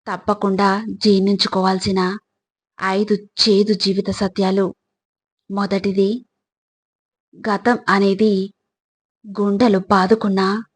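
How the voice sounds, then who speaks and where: Woman, unhurried delivery, level intonation, scripted motivational narration, instructive, direct address. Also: medium pitch, breathy edge.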